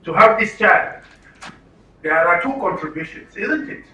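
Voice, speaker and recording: High, bright-voiced Nigerian man lecturing. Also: lively intonation, a little slow. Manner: emphatic, questioning the listeners with rhetorical tags. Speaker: speaker at a training session. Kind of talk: interactive lecture to an audience. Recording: roomy, distant mic with background noise.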